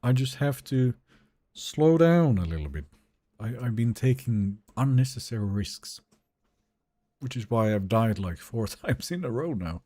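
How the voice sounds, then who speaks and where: Swedish male streamer, easygoing and chatty, animated pitch, mellow tone. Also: unhurried. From Sweden. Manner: relaxed, chatty, answers chat questions. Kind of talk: live gameplay stream, chatting with viewers.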